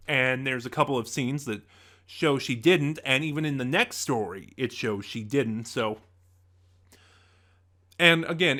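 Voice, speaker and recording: A man's voice: medium pitch, sharp tone. A young man giving a casual spoken review of an audio drama, talking conversationally with fillers and restarts.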